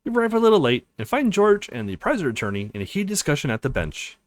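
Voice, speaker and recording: Expressive man narrating, widely swinging pitch. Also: quick pace, dry recording. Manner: expressive, dramatized reading. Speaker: let's play host. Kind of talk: reading a visual novel aloud, narration and dialogue.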